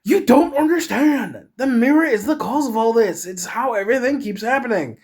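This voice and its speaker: Performer doing an agitated, pleading horror-story protagonist, pitched up. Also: sing-song, a little slow, a bit bright, heavily acted. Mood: manic, desperate. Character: Peyton, frightened, haunted, increasingly manic horror-story protagonist.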